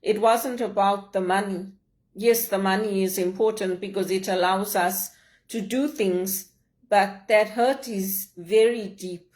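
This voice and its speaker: A middle-aged woman speaks in a measured, heartfelt way, reflecting on her own experience. Her voice sits at an ordinary mid pitch. She talks things through steadily and earnestly, as in a personal vlog. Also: steady tone, darkish tone. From Zimbabwe. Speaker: leadership vlogger.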